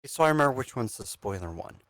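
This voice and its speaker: A podcast co-host chatting casually about films, halting and easily flustered. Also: medium pitch, expressive. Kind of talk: casual chat reviewing films on a livestream podcast.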